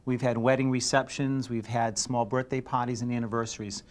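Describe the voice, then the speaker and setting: A man's voice: mid-range voice, level tone, fairly quick. A man in his forties, a chef and owner, answering interview questions about his restaurant. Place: inside a restaurant bar, dining room and kitchen. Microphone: lapel mic. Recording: faint restaurant background noise.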